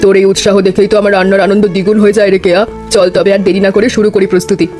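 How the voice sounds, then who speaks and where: A woman's voice: mid-range voice, flat delivery, very fast, a little mellow, soft background music, natural voice. A woman voicing a kindly older village mother in a cartoon, speaking affectionately and giving practical instructions. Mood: caring, practical. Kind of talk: scripted cartoon dialogue.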